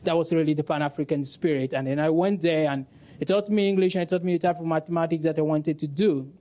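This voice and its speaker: Young French-native man from Cameroon, earnest panelist on a lapel mic, a touch high-pitched. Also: quick pace, mellow. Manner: earnest storytelling, laughs easily. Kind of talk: panel discussion answer. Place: stage of a conference room.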